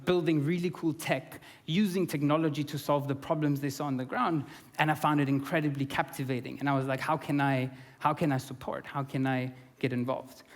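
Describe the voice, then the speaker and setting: A young man from Austria giving an earnest conference talk, with many fillers and restarts. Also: mid-range voice. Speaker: conference speaker. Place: conference hall. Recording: long reverb of a conference hall.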